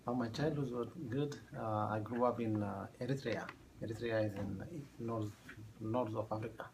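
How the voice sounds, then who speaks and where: Gravelly low-voiced man, halting speech. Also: steady tone, background noise. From Ethiopia. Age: 30s. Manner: halting, many fillers and restarts. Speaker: math teacher. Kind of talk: oral history interview.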